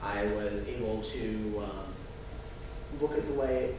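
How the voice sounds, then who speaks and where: A young man giving an informal student presentation in a halting way, with false starts and repeated phrases. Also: fairly low pitch, far from the mic. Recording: very echoey, noisy room from afar.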